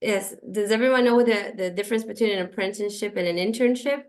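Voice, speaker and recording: A woman's voice: mid-range voice, darkish tone. A woman moderating an online session, giving practical instructions. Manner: practical, quick switching into Hebrew. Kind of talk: casual moderation. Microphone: video call.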